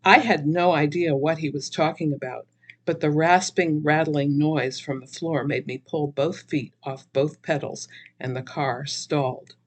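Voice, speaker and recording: Husky woman storyteller, slow and theatrical. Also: low voice. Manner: theatrical, expressive. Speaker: author reading her own novel. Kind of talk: dramatic humorous story narration.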